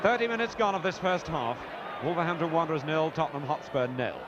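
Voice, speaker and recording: A man's voice: fairly high pitch, fast. A man giving live 1970s television football commentary in a terse, clipped manner. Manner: terse, clipped naming of players. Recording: dull 1970s broadcast, loud crowd noise. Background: loud stadium crowd.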